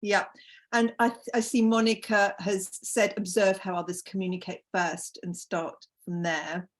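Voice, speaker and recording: A woman's voice: medium pitch, little pitch movement, a little slow. A woman with a British accent leading an online group conversation over a video call, reading out chat comments and inviting people to speak. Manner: encouraging, reads out chat comments. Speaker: facilitator.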